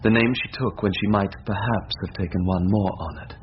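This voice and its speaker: An actor playing an earnest, proud young student in an old-time radio drama, speaking formally, on a dull, hissy recording. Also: male voice, lowish voice, steady tone, moderate pace, lightly acted. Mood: earnest, pleading.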